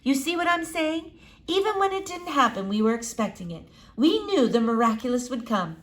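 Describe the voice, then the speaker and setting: A woman's voice: high-pitched, very animated, normal speed, fairly bright tone, voice pushed up. A woman voicing an elderly, philosophical lady doctor from a children's book, speaking emphatically in quaint, formal, repetitive phrasing. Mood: hopeful reminiscing.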